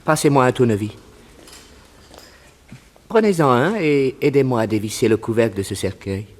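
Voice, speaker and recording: An actor playing a calm, methodical Chinese crime boss and undertaker in a 1960s French radio drama, giving measured instructions. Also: male voice, mid-range voice, a little hiss or hum, close to an everyday voice. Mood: methodical, instructing.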